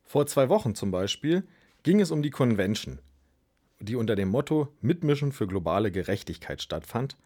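A man presents a radio show in a husky voice. His pitch moves widely as he introduces things, giving the delivery a lively swing. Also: normal speed.

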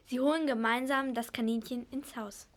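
High-voiced female narrator. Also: fairly even intonation, normal speed. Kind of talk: scripted story narration.

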